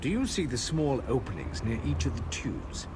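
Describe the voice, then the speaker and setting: Actor as learned male scholar, earnest, explanatory, expressive intonation, video game dialogue, noisy backdrop. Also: mid-range voice, moderate pace, lightly acted. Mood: fascinated, explanatory.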